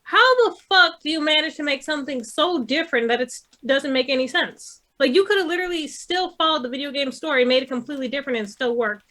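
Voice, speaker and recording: Woman, high-pitched, sharp bright voice, casual panel chat, blunt, exasperated, lots of swearing. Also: quick pace, hardly any pauses. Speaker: podcast co-host. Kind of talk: casual opinionated panel chat about movies.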